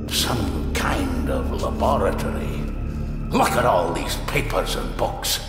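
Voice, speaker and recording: A man's voice: deep voice, very animated, slow pace, heavily acted. A man playing a doubtful, grumbling detective's partner in an audio drama, dry and wry. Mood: wry, uneasy. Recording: echoey reverberant hall, music underneath.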